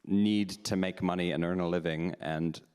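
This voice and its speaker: A man with a very low voice hosts a live panel, answering questions frankly and candidly. He thinks aloud as he goes. The sound carries a long reverb from a large hall. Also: steady tone, moderate pace, darkish tone, clean tone. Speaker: panel host, drag performer. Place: meeting house hall.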